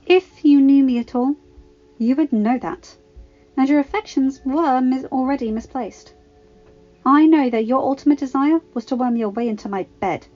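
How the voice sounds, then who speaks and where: A woman's voice: high-pitched, moderate pace, warm tone, highs cut off, voice pushed up. A woman voicing a proud young female senator in an audio story, formal, firm and icy. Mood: stern, cold. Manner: stern, formal.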